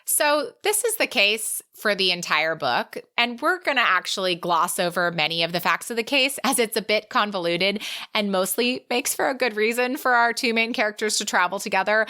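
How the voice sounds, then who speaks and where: A woman's voice: mid-range voice, expressive, normal speed, pushed and bright. A woman co-hosting a podcast, talking through and reading from a book. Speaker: podcast co-host. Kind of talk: book discussion with plot recap and read quotes.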